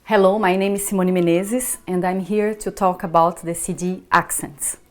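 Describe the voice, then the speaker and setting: A woman conductor with an Italian-Brazilian accent, speaking thoughtfully in a promotional interview and pausing to search for words. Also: fairly low pitch, steady tone, a little mellow. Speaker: orchestra conductor.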